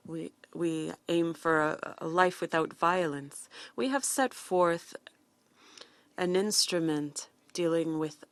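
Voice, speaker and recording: A woman works as a simultaneous interpreter with a notably low voice. She delivers a formal speech phrase by phrase, halting and restarting at times. The sound is dry and close. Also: no echo.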